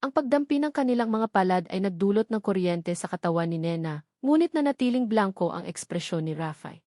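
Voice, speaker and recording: Woman reading a dramatic love story, scripted, mid pitch voice, really dry recording. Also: expressive, brisk, mellow, dry recording. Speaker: story narrator.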